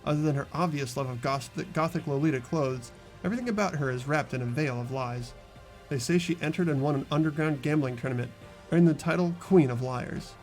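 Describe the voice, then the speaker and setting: Man acting teenage schoolboy hero, high-ish voice, earnest, uneasy, game narration over music. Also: fairly quick, a little mellow, lightly acted, rough recording. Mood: wary, musing. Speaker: narrator and lead of a fan-voiced game playthrough. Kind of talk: amateur voiced visual novel narration and dialogue.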